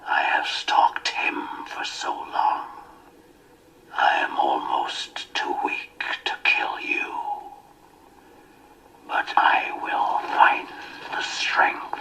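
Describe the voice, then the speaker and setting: An actor playing a menacing but weakened wolf-like creature of darkness, in a breathy, gravelly growl from a fantasy film. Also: male voice, very slow, pauses often, bright, forceful tone, in a whisper, distant mic, low-quality recording. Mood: menacing, weary.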